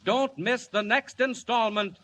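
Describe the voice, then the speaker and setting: An actor playing a stirring announcer, narrating a 1940s radio serial in a grand, suspenseful manner. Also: male voice, extremely high pitch, unhurried, pushed and bright, exaggerated voice. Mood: urgent, suspenseful. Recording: muffled, hissy old 1940s radio recording.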